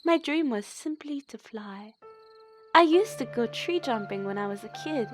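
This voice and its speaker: A high, youthful female voice, acted by a woman as a plucky military analyst in an audio drama. She sounds earnest and expressive. Music runs behind her. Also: normal speed, natural voice. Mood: candid, reflective.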